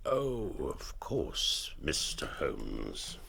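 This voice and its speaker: A man's voice: very deep voice, wildly swooping pitch, extremely slow, vocal fry, bright, forceful tone, slightly rough, exaggerated voice. A man playing a proud, weary older Duke in a radio drama, with an upper-class English accent, stately and formal. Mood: wary, subdued.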